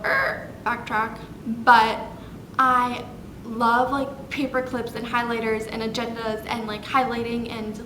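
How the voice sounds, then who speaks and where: A woman in her twenties chatting casually on a vlog, bubbly, with lots of fillers and self-corrections. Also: fairly high pitch. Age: 20s. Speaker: vlogger. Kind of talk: casual chat listing facts. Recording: echoey room with background noise.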